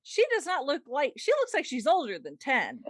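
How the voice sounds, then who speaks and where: A woman's voice: high-pitched, wildly swooping pitch, normal speed, fairly bright tone, dry recording, studio quality. A woman co-hosting a casual fan discussion, chatty and rambling, with lots of "like" and "you know". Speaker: podcast co-host.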